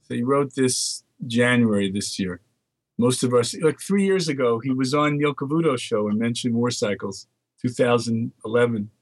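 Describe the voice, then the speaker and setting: A man's voice: mid-range voice, lively intonation, normal speed, no echo, rough recording. An older man from the Netherlands, a market research guest, chatting casually and reading long lists of dates aloud. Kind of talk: casual phone interview chat.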